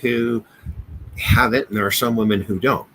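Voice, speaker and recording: Casting: American male co-host, medium-pitched, loose and hesitant conversational delivery, light background noise. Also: fairly even intonation, moderate pace, fairly bright tone, low-quality recording. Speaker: co-host of a business show. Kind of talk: casual chat.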